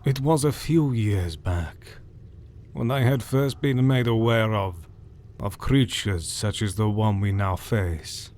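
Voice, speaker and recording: An actor playing a learned doctor who hunts vampires, speaking in a measured, earnest way in an audio drama. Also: male voice, lowish voice, darkish tone. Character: learned older male doctor, vampire hunter.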